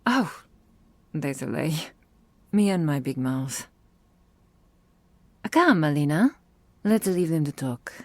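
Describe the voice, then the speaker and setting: Flirty eccentric vampire sister woman acting, drawling slowly, mellow, teasing and theatrical ASMR character. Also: low-pitched, big pitch swings, no echo, heavily acted. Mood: playfully sheepish. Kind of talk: ASMR roleplay.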